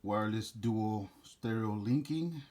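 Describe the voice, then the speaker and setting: Low-voiced man casually presenting an unboxing. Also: slow pace, a little mellow. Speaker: DJ rental business presenter.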